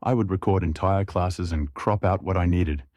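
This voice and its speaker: A man narrating an online story in an even, scripted reading tone. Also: low voice, moderate pace, no echo, clean studio sound.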